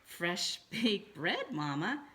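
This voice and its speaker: A woman voicing an earnest, simple young boy who answers meekly and softly. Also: fairly low pitch, sing-song, takes it slowly, slight background noise, full character voice. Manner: soft, meek replies.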